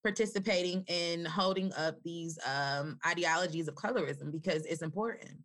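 A woman's voice: on the low side, moderate pace, a bit bright. A woman on a podcast speaking earnestly, pressing her point about taking conversations further. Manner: earnest, advocating.

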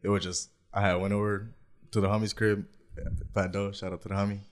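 A man with a Southern Californian accent and a naturally deep voice, chatting casually as a podcast guest and leaning on filler phrases. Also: level tone, a little fast. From Anaheim, California. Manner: laid-back, filler-heavy, says "you feel me" a lot. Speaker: guest rapper.

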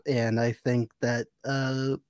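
A man's voice: medium pitch, little pitch movement, a little mellow, clean tone, dry recording, clean studio sound. A man co-hosting a podcast, bantering playfully in casual chat. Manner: playful, bantering, quick to laugh. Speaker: podcast co-host. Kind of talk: casual comedic recap chat.

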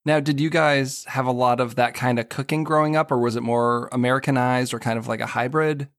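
A man's voice: medium pitch, fairly even intonation, fairly quick, fairly bright tone, no room sound, clean studio sound. A man hosting a podcast and chatting with a guest in an easygoing way. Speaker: podcast host. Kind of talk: casual chat.

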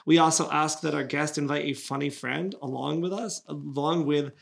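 A man's voice: on the high side, steady tone, moderate pace, creaky. A man hosting a podcast with casual, chatty banter. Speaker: podcast host and producer.

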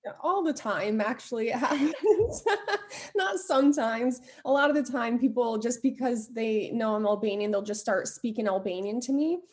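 A young American woman talks as a podcast guest. Her voice is a little high, and she tells stories in an upbeat, easygoing way. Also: moderate pace, a little mellow. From United States. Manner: upbeat, laughs often while telling stories. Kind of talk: casual interview chat.

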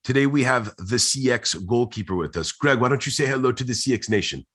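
A man's voice: mid-range voice, lively intonation, fairly quick, a bit bright, slightly rough. A man hosting a podcast, enthusiastic and upbeat, often repeating words as he restarts sentences. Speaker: podcast host.

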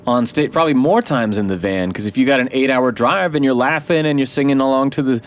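A man's voice: medium pitch, quick pace. Laughing, casual man host. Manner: laughs often, swears casually, storytelling. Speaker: interview host, musician. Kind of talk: casual interview chat.

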